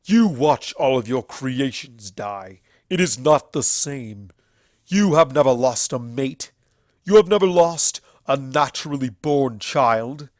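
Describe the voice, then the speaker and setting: A man's voice: mid-range voice, expressive, takes it slowly, no room sound. Man acting a bitter, proud ancient stallion, dry recording. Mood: angry. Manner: forceful, declamatory, embittered. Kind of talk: dramatic fan fiction character reading.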